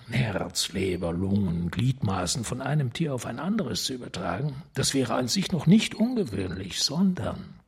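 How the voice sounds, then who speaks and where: A high police prefect in a radio crime drama, husky and breathy with some gravel. He speaks formally and urgently, swinging in pitch as he persuades in secrecy. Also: heavily acted. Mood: intrigued, conspiratorial. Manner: formal, theatrical, emphatic with dramatic pauses.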